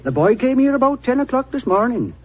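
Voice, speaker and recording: An actor playing a respectful, folksy country gardener in a 1940s radio drama. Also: male voice, very high voice, sing-song, fairly quick, husky, full character voice. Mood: humble, obliging. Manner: respectful, folksy, repeats "sir". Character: deferential old-fashioned English country gardener. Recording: muffled 1940s radio recording.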